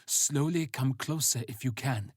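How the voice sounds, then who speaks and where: A man's voice: mid-range voice, unhurried, husky, no room sound. Watchful male operative, voiced by a narrator, direct, understated, audiobook hero, dry studio-like sound. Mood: wary. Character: terse, watchful male agent in a sci-fi story.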